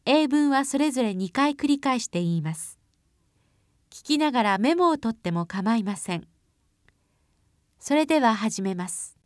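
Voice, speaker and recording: A woman announcing formal exam instructions in an even, formal manner, recorded on a close studio mic. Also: fairly high pitch, fast talker, pauses often, no echo. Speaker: test announcer.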